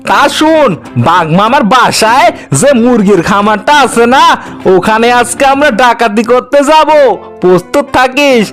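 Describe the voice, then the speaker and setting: A man's voice: very high-pitched, lively intonation, moderate pace, fairly bright tone, faint music behind. A man voicing a bossy, boastful fox thief in a comic cartoon, blustering and scolding. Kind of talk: comic Bengali cartoon dialogue.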